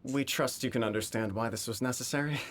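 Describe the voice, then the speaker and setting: A man playing a courteous shogunate official in a video game, speaking in a measured, formal and diplomatic way. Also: medium pitch, slight background noise, lightly acted. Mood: explanatory, formal.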